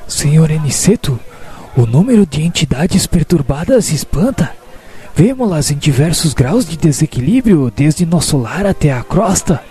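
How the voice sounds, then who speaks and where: A man's voice: a little high, sing-song, moderate pace, warm tone, faint music behind. A man playing an earnest, respectful spirit apprentice who speaks formally in a religious radio drama. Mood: concerned. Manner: formal, deferential.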